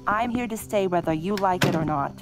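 Casting: actress as contemptuous, clinging wife, lower register, taunting sarcasm, horror audio play. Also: female voice, brisk, over music, close to an everyday voice. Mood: bitter, possessive. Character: scornful, bitter, clinging wife, mocking and venomous. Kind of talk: scripted audio horror drama.

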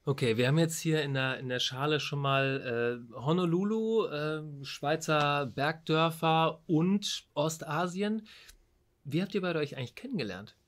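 A man's voice: a little high, measured pace. Friendly man hosting a podcast. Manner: friendly, curious questioning with short backchannels. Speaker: podcast host.

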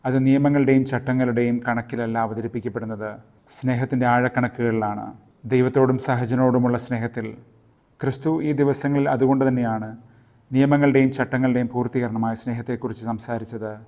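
A man, a friar and podcast host, giving a scripted Bible reflection in measured, literary phrasing. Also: medium pitch, fairly even intonation, warm tone.

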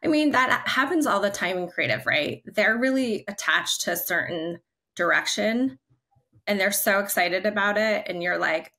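A woman in her forties speaks on a video call from her living room, her voice bright and forward. She talks in an upbeat, chatty way, full of loose fillers as she explains her ideas. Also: mid-range voice, expressive, moderate pace. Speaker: guest, creative brand leader. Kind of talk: casual video-call interview. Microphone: video call, laptop camera.

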